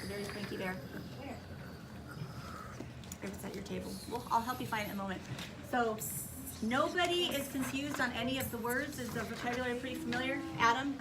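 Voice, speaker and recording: A woman's voice: fairly high pitch, brisk, long pauses. A woman teacher instructing young children in a classroom, giving directions, repeating them and asking lots of questions. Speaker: primary school teacher. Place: school classroom. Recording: noisy classroom recording. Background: classroom noise.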